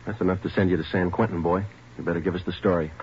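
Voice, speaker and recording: Terse detective sergeant, male actor, deep and brisk, clipped delivery, old-time radio crime drama with dull sound. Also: a little hiss or hum, lightly acted. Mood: stern. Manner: clipped, deadpan. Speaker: narrator and lead actor.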